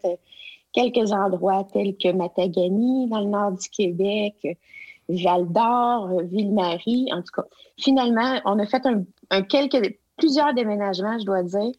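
A woman in her forties from Quebec, with a Quebec French accent, chatting as an interview guest and pausing often with fillers and restarts. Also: mid-range voice, a little mellow, no room sound, highs cut off. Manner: hesitant, many fillers and restarts. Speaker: guest, provincial education consultant. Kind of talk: casual interview conversation.

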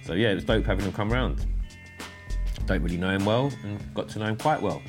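A man's voice: lowish voice, a little fast. A man hosting a podcast in a studio, chatting casually with slang and swearing. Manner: slangy, laid-back, swears freely. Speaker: podcast host. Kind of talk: casual interview chat. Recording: music behind the voice.

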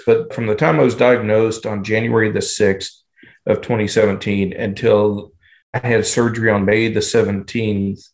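An American man in his fifties from southern Indiana, answering interview questions conversationally in a reflective, halting way. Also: on the low side. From southern Indiana, United States. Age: 50s. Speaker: podcast guest, training director and researcher.

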